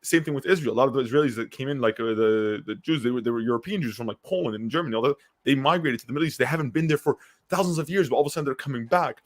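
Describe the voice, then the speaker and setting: Fast-talking man from Syria. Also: mid-range voice. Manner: fast, animated, lots of restarts and fillers. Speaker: podcast co-host. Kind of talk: casual conversational podcast chat.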